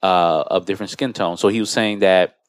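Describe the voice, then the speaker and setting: A man's voice: sits low, steady tone, a little fast, dry recording, studio quality. An American man hosting a podcast in a conversational way, explaining and recounting things as he talks. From United States. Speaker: podcast host.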